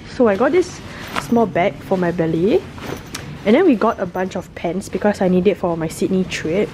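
Young female travel vlogger, mid-pitched, casual chat to camera, noisy surroundings. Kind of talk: casual vlog chat to viewers. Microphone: handheld camera at arm's length. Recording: clear background noise.

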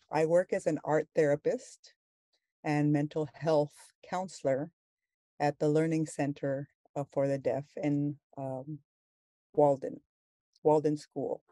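An interpreter speaks a signing panelist's words into a video call, with a dark, mellow tone. The delivery halts, pauses on fillers, and restarts mid-phrase. The sound is dry and close, with no room around it. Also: medium pitch, level tone, dry recording. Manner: halting, with frequent fillers and restarts. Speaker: sign language interpreter voicing a panelist.

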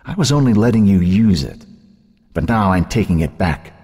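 A man's voice: mid-range voice, animated, fast, a little mellow, big-room sound, pitched above the performer's own voice, natural voice. A man voicing a cruel, mocking ghost of a possessive woman in an audiobook, scornful and belittling. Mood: gloating, possessive. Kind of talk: audiobook character voice.

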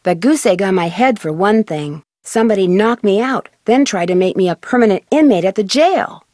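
A woman's voice: mid-range voice, lively intonation, moderate pace, a little mellow, no room sound, studio quality, close to an everyday voice. A woman playing an earnest young female detective in a video game, matter-of-fact and articulate. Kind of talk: scripted video game dialogue.

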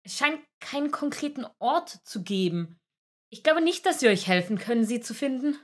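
Woman playing a husky, dry female agent. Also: a little high, lively intonation, normal speed. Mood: matter-of-fact. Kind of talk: audiobook character acting.

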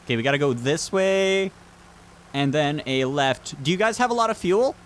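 A man leads a squad through a multiplayer game in casual chat. His voice sits a little high and moves around a lot as he gives directions and instructions to his teammates. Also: lively intonation, normal speed, slight background noise. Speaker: gamer leading a multiplayer roleplay group.

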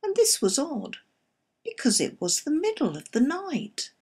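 A woman expressively reciting a narrative poem in a measured storytelling manner, with a slightly husky voice. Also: high voice, wildly swooping pitch, a little mellow. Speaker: poetry reader.

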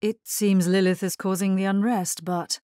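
An actress voicing a solemn, grave necromancer in a video game, with measured, formal delivery. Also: female voice, medium pitch, little pitch movement, warm tone, dry recording, studio quality, lightly acted. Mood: serious, urgent. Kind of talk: scripted video game character voice lines.

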